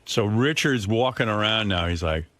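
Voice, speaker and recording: Lively, bright-voiced New radio host. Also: male voice, lowish voice, normal speed, no room sound, clean studio sound. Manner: animated storyteller, mimics others mid-story. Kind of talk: casual radio banter.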